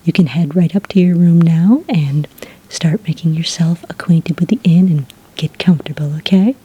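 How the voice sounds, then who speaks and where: A woman doing a soft-spoken, whispered ASMR roleplay as a friendly witch at a hotel front desk, gentle and polite in a breathy voice. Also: on the low side, moderate pace, dull audio. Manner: gentle, polite, customer-service phrasing.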